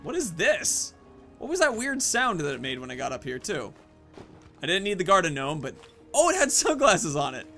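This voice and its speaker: Male gaming host, higher-pitched and bright, casual think-aloud play commentary over music. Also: wildly swooping pitch, moderate pace. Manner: thinks aloud, narrating own moves and choices. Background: video game music.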